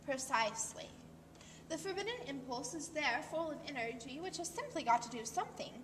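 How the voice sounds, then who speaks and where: A woman's voice: sits high, sing-song, a little fast. A woman playing an earnest, condescending wife who lectures insistently about psychoanalysis in an amateur stage-play rehearsal. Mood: earnest lecturing. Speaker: amateur actor. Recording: distant mic, echoey hall, clearly noisy.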